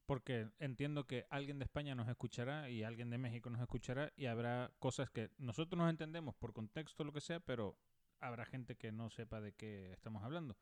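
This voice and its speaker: Young Spanish man, Canarian accent with a Mexican tinge, clear voice, casual podcast co-host. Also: medium pitch, a little fast, a little mellow, dry recording, studio quality. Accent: Spanish, mixed Canarian and Mexican. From Canary Islands, Spain. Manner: chatty, laughs easily. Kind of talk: casual chat.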